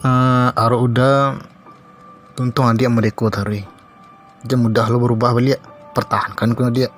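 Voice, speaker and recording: A man's voice: medium pitch, flat delivery, moderate pace, faint music behind, close to an everyday voice. A man with a Minangkabau accent voicing a doting, sweet-talking husband in a comedy cartoon. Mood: amazed, smitten. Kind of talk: comic cartoon dialogue.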